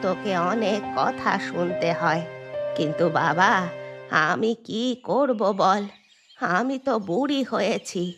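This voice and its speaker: A woman voicing a gentle old village granny in a cartoon, speaking in a plaintive, coaxing manner. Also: medium pitch, slow pace, music in the background. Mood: pleading, gentle. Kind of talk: cartoon voice acting.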